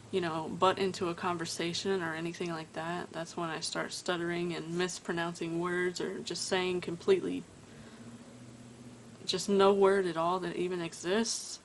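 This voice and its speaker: A woman's voice: on the low side, gravelly, a little hiss or hum, low-quality recording. A woman vlogging at home to her camera, stuttering and stopping mid-sentence to think. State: tired. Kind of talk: casual talk to camera. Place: at home indoors.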